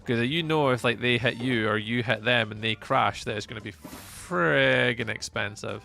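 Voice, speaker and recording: Gravelly Scottish man chatting live. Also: mid-range voice, moderate pace, sharp tone, soft background music. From Scotland. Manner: rambling and chatty, many fillers and restarts. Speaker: live streamer. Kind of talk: casual chat with live chat while gaming.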